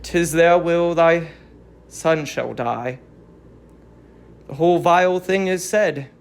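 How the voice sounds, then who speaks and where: A performer playing a reluctant, troubled male Greek herald, halting and grave, in a dramatic reading of tragedy. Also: mid-range voice, smooth.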